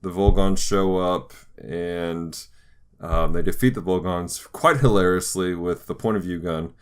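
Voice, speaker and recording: Low-voiced man chatting on a podcast. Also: a little slow, smooth. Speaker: podcast co-host. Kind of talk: casual podcast discussion.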